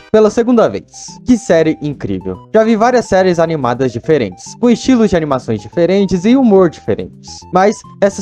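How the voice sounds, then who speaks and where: Male Brazilian YouTube commentator, fairly high voice, colloquial and casual delivery, light music bed underneath. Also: darkish tone. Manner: colloquial, peppered with tag questions. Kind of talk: casual video essay commentary on animation. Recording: voice over background music. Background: background music.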